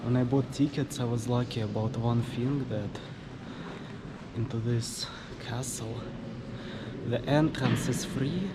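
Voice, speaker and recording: Slow-talking young Russian man vlogging. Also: mid-range voice, steady tone, heavy background noise. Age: 20s. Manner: halting, with many fillers and restarts. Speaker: travel vlogger. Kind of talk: casual vlog talk to camera. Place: sightseeing spots around a city, partly outdoors.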